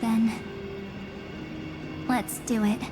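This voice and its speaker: A resolute young woman of fateful power, slow and solemn. Her voice sits slightly high, dramatic and measured, over clear game music. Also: flat delivery. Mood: resolved, grave. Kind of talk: dramatic video game acting.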